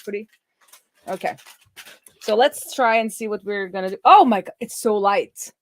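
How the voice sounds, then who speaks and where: A woman's voice: medium pitch, normal speed. A middle-aged woman with a Norwegian accent, a native Norwegian speaker, hosting a casual livestream and thinking aloud with fillers and restarts. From Norway. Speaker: streamer unboxing a laptop.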